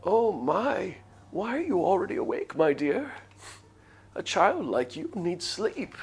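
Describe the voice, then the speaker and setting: A man voicing a kindly, concerned old village woman from a visual novel, gentle and motherly, with drawn-out words. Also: high voice, sing-song, breathy edge, voice pushed up, exaggerated voice. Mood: fond concern. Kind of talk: visual novel character read aloud.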